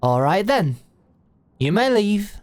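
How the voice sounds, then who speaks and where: A woman's voice: medium pitch, big pitch swings, takes it slowly, a little mellow, dry recording. A woman playing a blunt, shrewd older British executive in an audio roleplay, talking in a brash, slangy, commanding way. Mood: commanding, provocative. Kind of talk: scripted audio roleplay monologue.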